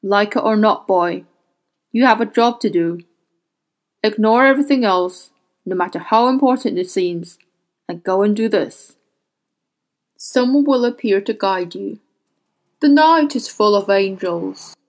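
Woman as a solemn, commanding grey-haired shaman, slow-paced. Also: mid-range voice, animated. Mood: solemn urgency. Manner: urgent, solemn, instructing. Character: haggard, grey-haired dying male shaman, stubborn, commanding.

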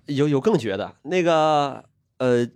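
A man's voice: mid-range voice, expressive, a little slow, darkish tone. A man on a podcast panel, telling comic anecdotes with dry quips and laughing at his own jokes. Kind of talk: comic storytelling in a casual chat.

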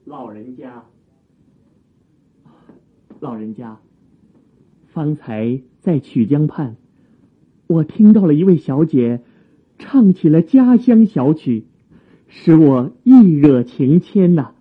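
An actor playing a courteous, tender young musician in a Chinese radio drama, with humble, emotive, old-fashioned phrasing. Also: male voice, on the high side, very animated, slow pace, long pauses, slightly rough, heavily acted. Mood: humble, polite. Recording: muffled, narrow phone-like sound.

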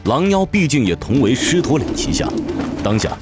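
A man delivers dramatic scripted fantasy narration. His mid-range voice rises and falls with great animation. Music plays clearly behind him throughout. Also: medium pitch, sing-song, normal speed.

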